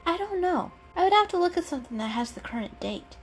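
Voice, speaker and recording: Woman voicing a caring, bookish storybook she-cat, higher-pitched. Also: very animated, fast talker, soft background music. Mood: encouraging. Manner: patient, explanatory, kindly. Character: caring, know-it-all, encouraging female cat.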